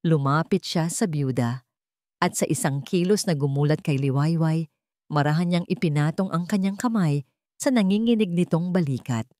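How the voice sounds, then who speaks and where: A woman narrates a scripted, dramatic story. Her voice sits low for a woman, and the sound is dry and close, with no room around it. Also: moderate pace, dark tone, no room sound.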